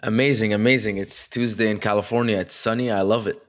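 A young man from Egypt and Dubai, an interview guest telling his story, energetic and chatty with many fillers. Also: on the low side, quick pace. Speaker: guest, online seller. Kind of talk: casual interview chat.

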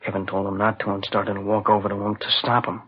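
An actor playing a shaken, exhausted young student witness in a 1950s radio drama, halting and subdued. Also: male voice, on the low side, completely flat delivery, breathy edge. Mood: shaken, recounting. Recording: dull old radio recording, faint noise.

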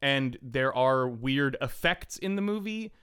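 A man's voice: mid-range voice, animated, measured pace, a bit bright, clean tone. A man co-hosting a podcast in casual banter, with a playful, giggly, jokey manner. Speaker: podcast co-host. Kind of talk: casual banter between co-hosts.

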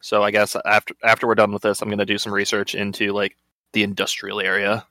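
A man chatting as a player in a tabletop roleplaying game, halting and full of restarts. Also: lowish voice, completely flat delivery, bright, forceful tone, no room sound. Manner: hesitant, lots of fillers and restarts.

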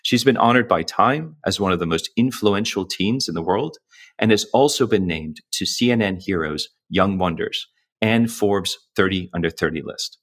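A man hosting a virtual event, reading a guest introduction and then asking somewhat halting questions. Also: low-pitched, a bit bright. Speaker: event host and interviewer.

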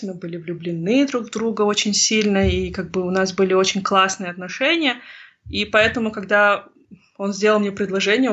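A woman from Kazakhstan in her thirties talks through her thoughts in an interview, earnest and unhurried in her search for the right words. Her voice has a breathy, husky edge. The recording sounds a little muffled and lo-fi. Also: mid-range voice, fairly bright tone. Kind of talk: reflective personal interview. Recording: slightly dull lo-fi recording.

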